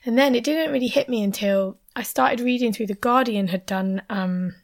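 A hoarse woman with a sore throat, talking over a video call, her voice gravelly with fry and pitched a little high. She chats in a relaxed, casual way and often sinks to a near whisper. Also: moderate pace. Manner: chatty, drops to a near whisper at serious points.

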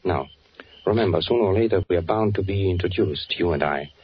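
An actor playing a careful undercover agent posing as a German army officer in a 1940s radio drama, speaking in a measured, guarded way. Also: male voice, low voice, husky, dry recording. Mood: cautious instructing. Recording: dull, noisy 1940s radio recording.

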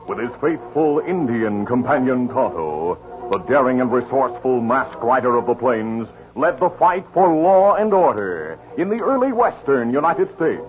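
Booming 1950s radio announcer man. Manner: booming, theatrical. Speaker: announcer and narrator. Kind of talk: dramatic 1950s radio narration. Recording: dull lo-fi old radio recording with music.

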